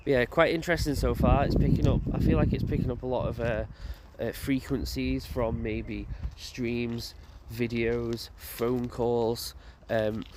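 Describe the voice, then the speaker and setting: A man's voice: mid-range voice, steady tone, a little slow, hardly any pauses. A man walking through a graveyard as he vlogs, musing aloud in a hesitant way with many fillers and restarts. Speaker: paranormal investigator vlogger. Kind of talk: walking vlog commentary. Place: graveyard outdoors, walking a path. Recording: loud outdoor background noise. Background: outdoor noise and birds, a crow.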